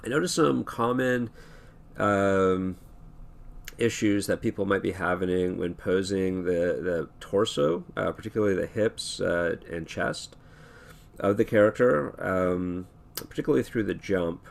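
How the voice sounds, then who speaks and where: A hoarse man talking through an animation tutorial in a relaxed, thinking-aloud way, with many fillers and restarts. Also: deep voice, unhurried. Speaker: animation instructor. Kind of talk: screen-recorded tutorial talk.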